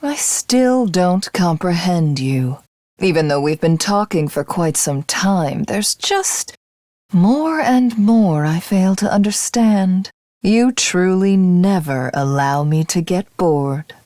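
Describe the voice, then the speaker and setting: Game villainess: proud alien supreme commander, imperious and measured, mid-pitched with animated intonation, unhurried delivery. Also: female voice, no echo, clean studio sound. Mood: solemn, measured. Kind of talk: video game character voice acting.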